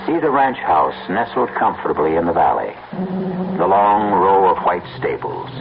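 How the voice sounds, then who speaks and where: Male American radio host, deep dramatic narration, muffled vintage broadcast with musical bed. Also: background noise.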